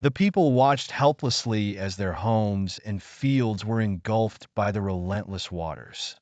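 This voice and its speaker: A man narrating a scripted children's story in a measured, earnest storybook manner. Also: on the low side, darkish tone, no room sound.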